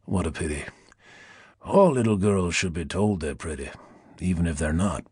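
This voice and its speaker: A man's voice: low-pitched, animated, normal speed, a little mellow, husky, dry recording, clean studio sound, voice pushed down, full character voice. A man voicing a sly, menacing American seducer with a languid, mocking Southern drawl and a velvety, whispery purr. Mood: silky taunting. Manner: lazy drawl, mocking and insinuating.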